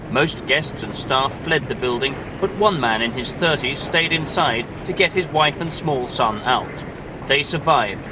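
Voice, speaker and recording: Male reporter over noisy footage. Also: mid-range voice. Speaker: news reporter. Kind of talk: scripted news report narration. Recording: noisy 1980s TV report tape.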